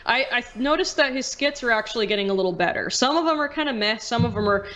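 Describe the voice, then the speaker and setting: A woman's voice: on the high side, expressive, fast, fairly bright tone, poor audio. A woman hosting a podcast in casual chat, informal and slangy with some offhand swearing. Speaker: podcast host, online video creator.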